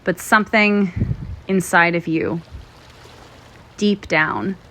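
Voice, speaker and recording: A woman narrating a guided meditation in a gentle, soothing, measured way, pausing often between phrases. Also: on the low side, fairly even intonation. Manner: gentle, soothing, measured delivery with frequent pauses.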